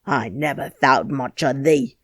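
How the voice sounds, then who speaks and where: A cross old gardener in an audiobook, as a woman reader puts him on, barking and scolding in thick dialect. His voice rings loud and hard, with dry close sound and no room around it. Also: on the low side, very animated, moderate pace, no room sound. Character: angry old male Yorkshire gardener. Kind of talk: audiobook character voice.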